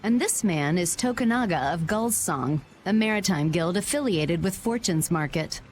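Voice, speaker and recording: A woman playing a shrewd, confident merchant guild president in a video game, brisk and polished in delivery. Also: fairly low pitch, animated, a little hiss or hum, natural voice. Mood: brisk, assured. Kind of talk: video game dub acting.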